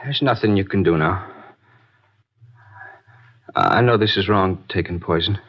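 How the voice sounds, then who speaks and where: An actor playing a weary, defeated murder suspect with a husky voice in an old radio crime drama. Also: male voice, low voice, measured pace. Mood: weak, resigned. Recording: muffled old radio recording.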